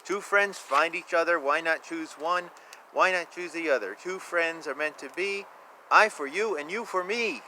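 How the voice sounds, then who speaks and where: A man reading children's picture books aloud in a playful, expressive way, with casual asides and repeated phrases. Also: on the high side, normal speed. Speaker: children's book reader. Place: outdoors. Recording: noisy outdoor ambience. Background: outdoor ambience, animal and vehicle sounds.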